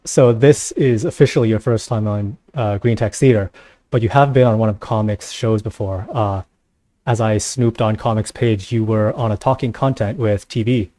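A man's voice: fairly low pitch, moderate pace, mellow, no echo. A man hosting a casual live panel show, sounding somewhat tired. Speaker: host.

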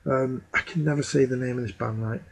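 A man in his thirties with a slightly hoarse voice, chatting casually on a webcam at home and rambling with plenty of ums and uhs. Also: mid-range voice, fairly even intonation, a little fast. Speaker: vinyl collector vlogger. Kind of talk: casual chat. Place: small room at home. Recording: music playing in the room. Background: music playing.

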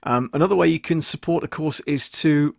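A man's voice: a little high, a little fast, gravelly, a little mellow, no room sound. A man in his fifties chatting informally and casually as he hosts a tutorial for viewers, close on a desk microphone. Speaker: audio producer and live-stream host. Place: small soundproofed home studio in a converted shed. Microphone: desk condenser microphone, close.

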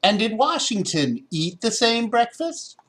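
Storybook boy, played by a man: headstrong, emphatic, high and lively. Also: measured pace, full character voice. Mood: eager, curious. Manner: shouting, emphatic. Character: indignant, stubborn young boy refusing to give up.

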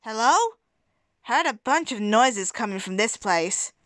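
A woman's voice: fairly high pitch, animated, measured pace, very noisy. A woman voicing a blunt, nonchalant male animal handyman in an amateur cartoon comic dub, dry and offhand.

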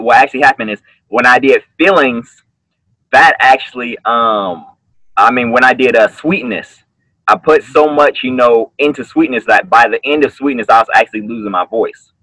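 A man, a singer, talking casually on a video call from a room at home, explaining earnestly with many halting restarts. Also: medium pitch, sharp tone, no echo, rough recording. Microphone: webcam on a video call.

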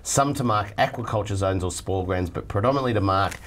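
A man's voice: on the low side, brisk, a bit bright. A middle-aged Australian man hosting a studio chat show, reading viewer letters aloud in a chatty, joking way. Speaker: host reading viewer mail. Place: TV studio set at a desk.

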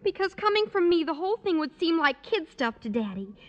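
A woman's voice: very high-pitched, sing-song, brisk, muffled recording. A woman playing an earnest, anxious teenage schoolgirl in 1950s radio comedy style. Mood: eager, coaxing. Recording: noisy old radio recording.

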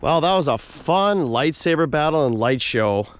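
A man's voice: on the high side, expressive, normal speed. A man hosting a casual camping vlog, chatting informally and using slang, recorded in and around a parked car. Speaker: vlog host. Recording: clear background car noise.

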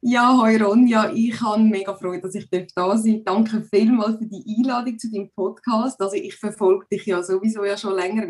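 A woman's voice: medium pitch, a little mellow. Chatty woman with a Zurich Swiss German accent. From Zurich, Switzerland. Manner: chatty, many filler hesitations. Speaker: podcast guest. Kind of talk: casual conversation. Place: home room. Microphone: video call.

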